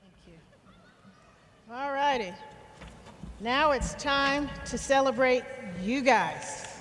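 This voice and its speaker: A woman's voice: sits high, slow pace, heavy background noise. A woman speaking as a college official leading a commencement, in a formal address style. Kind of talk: formal ceremony address with casual asides. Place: large indoor arena at a commencement. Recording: echoey large arena, long reverb.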